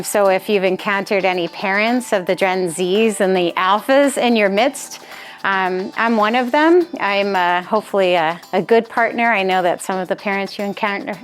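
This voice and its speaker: A woman's voice: medium pitch, normal speed, faint music behind. An American woman in her forties speaking informally and with some self-deprecation as she presents to a small group in a conversational way. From Massachusetts, United States. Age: 40s. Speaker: presenter, nonprofit president.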